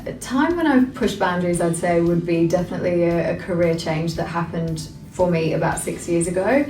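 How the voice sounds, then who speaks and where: A woman with a slightly low voice speaks in an easy, conversational way. She sounds distant in an echoey room. Faint music plays beneath her. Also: normal speed, darkish tone. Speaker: recruiter. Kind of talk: personal story in an interview.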